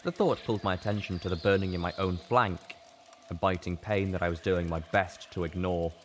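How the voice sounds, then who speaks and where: Low-pitched man narrating a dramatic story. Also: fairly even intonation, fairly quick, a little hiss or hum. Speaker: story reader. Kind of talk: dramatic first-person audiobook narration.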